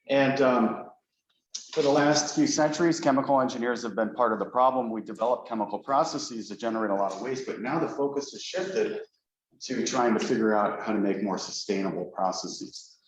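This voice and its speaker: A male professor giving a relaxed, lightly joking seminar talk to colleagues in a lecture room. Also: on the low side. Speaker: guest speaker, professor. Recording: echoey room, dull lo-fi sound, faint noise.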